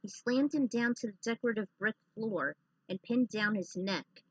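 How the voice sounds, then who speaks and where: A woman's voice: medium pitch, moderate pace, slightly rough, no room sound, highs cut off. A woman hosting with casual commentary and reading a story she has written out. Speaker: host and fan fiction reader.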